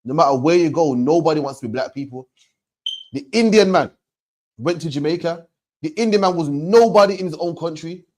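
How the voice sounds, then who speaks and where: A man preaching in an emphatic, repetitive way, hammering each point home. His voice sits a little higher than most men's. He ends his phrases with "man". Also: brisk, darkish tone, a little hiss or hum. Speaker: religious teacher. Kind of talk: street preaching to a questioner.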